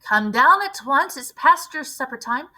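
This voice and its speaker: A woman voicing a stern, protective old grandmother in a storybook, firm and measured. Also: high-pitched, lively intonation, sharp tone, voice pushed up, exaggerated voice, rough recording. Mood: firm caring.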